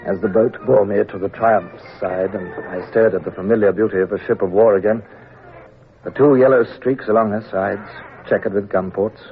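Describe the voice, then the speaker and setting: An actor playing a naval captain who narrates his own story in a measured, somber, reflective way, in an old radio drama. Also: fairly low pitch, steady tone, music in the background, lightly acted. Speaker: narrator and lead character. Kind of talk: 1950s-style radio drama. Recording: tinny, hissy old radio broadcast.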